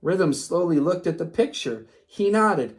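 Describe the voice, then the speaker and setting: A woman hosting a children's read-aloud, narrating a story expressively and pausing for simple lessons. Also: lowish voice, lively intonation. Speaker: children's story reader and host.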